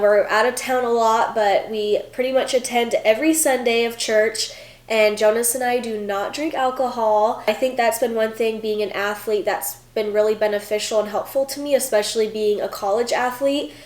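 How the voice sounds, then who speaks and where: A woman's voice: fairly high pitch, reverberant. A woman in her twenties answering viewer questions in a casual vlog, chatty with lots of fillers, sounding stuffy from a cold. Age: 20s. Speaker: vlogger, former college gymnast.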